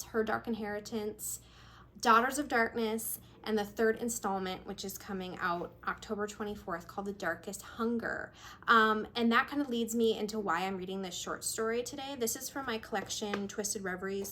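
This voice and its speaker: A woman's voice: mid-range voice, fairly bright tone. A woman with a mid-range voice speaks as an author presenting her work. She moves between casual introduction and narrated storytelling. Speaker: author reading own short story. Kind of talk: casual introduction, then narrated fiction reading.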